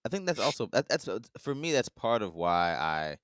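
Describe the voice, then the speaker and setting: A man's voice: fairly low pitch, big pitch swings, normal speed, fairly bright tone, smooth, dry recording, clean studio sound. A man hosting a podcast, chatting casually and rambling through his questions. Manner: rambling, full of "like" and restarts. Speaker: podcast host.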